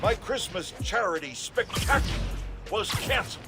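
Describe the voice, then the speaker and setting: An actor playing a gruff older male radio talk host who complains in an indignant, blustering way. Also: sits high, expressive, moderate pace, loud music behind the voice. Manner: indignant, blustering broadcast delivery.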